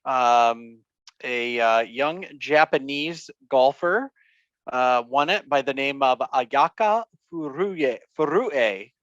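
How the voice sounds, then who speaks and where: A man co-hosting a casual golf podcast, going over the news with a lot of fillers and pauses. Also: mid-range voice, animated, fairly bright tone, no echo, dull audio. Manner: hesitant, many fillers. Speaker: podcast co-host. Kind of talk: casual sports chat.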